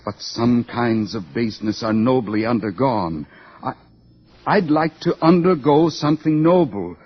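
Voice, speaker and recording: Earnest tormented young outsider, poetic and intense, rough-edged voice, muffled old radio drama. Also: male voice, medium pitch, lively intonation, measured pace. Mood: fervent, lovestruck. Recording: dull, lo-fi old radio recording.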